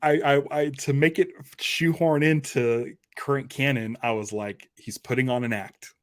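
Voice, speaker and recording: A man's voice: medium pitch, steady tone, moderate pace. A man talking casually about pop culture as part of a podcast discussion. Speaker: podcast panelist.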